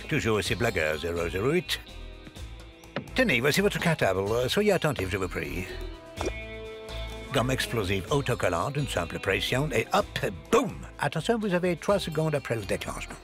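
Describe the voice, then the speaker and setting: An actor playing a fussy, polite spy gadget master in a French cartoon, with a formal, jovial manner. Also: male voice, medium pitch, sing-song, normal speed, close to an everyday voice. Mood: brisk, jovial. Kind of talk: French cartoon dub acting. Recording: music behind the voice.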